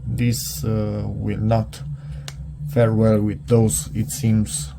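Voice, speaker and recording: A man thinking aloud in a casual, unscripted hands-on product review, halting and muttering to himself as he goes. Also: lowish voice, monotone, gravelly, background noise.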